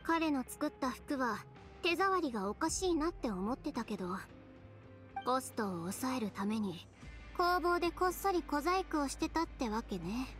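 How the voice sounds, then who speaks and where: Composed, higher-voiced young designer. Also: female voice, sing-song, fairly bright tone, soft background music. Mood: scornful. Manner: cool, measured, matter-of-fact. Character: composed, proud young female fashion designer. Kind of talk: anime game voice acting.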